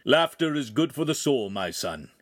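A man voicing a kindly but stern older master craftsman in an audiobook, measured, questioning and paternal. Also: medium pitch, big pitch swings, slightly rough, no room sound, clean studio sound. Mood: genial.

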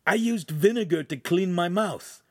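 A man's voice: high voice, moderate pace, darkish tone, no echo, studio quality, pitched above the performer's own voice. A man voicing a humble, eager reformed street youth in an audiobook, pleading and deferential.

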